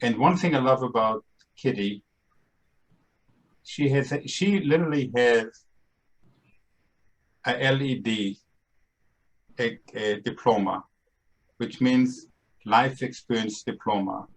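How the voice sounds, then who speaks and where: A man's voice: mid-range voice, slow pace, long pauses, low-quality recording. Upbeat older man with a Brooklyn accent. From Austria and Brooklyn, New York. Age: 70s. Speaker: podcast host. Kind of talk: casual podcast monologue.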